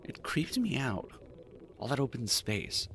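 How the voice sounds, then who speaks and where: An actor playing a world-weary, wry detective in a noir audio drama, brooding and sardonic. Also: male voice, mid-range voice, big pitch swings, measured pace, creaky, a bit bright, slight background noise, heavily acted. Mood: uneasy, reflective. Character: world-weary, wry male detective narrating his story. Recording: faint music behind the voice.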